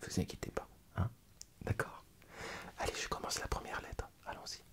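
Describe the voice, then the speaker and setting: A man playing a calm, reassuring doctor in a soft-spoken, hesitant French ASMR medical roleplay. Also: normal speed, close to an everyday voice. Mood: calm, instructing. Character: calm, reassuring male doctor treating a strange sensory illness.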